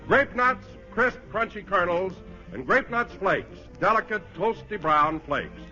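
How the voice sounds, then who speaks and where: Bright, high-pitched vintage radio announcer man. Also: expressive, measured pace, soft background music. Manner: emphatic, salesmanlike. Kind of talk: 1940s radio advertising announcing. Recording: dull old radio broadcast recording.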